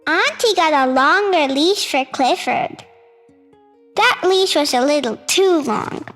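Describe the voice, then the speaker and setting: Very high, childlike storybook reader in reverb. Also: expressive, slow, a bit bright. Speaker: storytime host reading aloud. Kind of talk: read-aloud children's storybook narration. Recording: reverberant hall sound with music. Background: music.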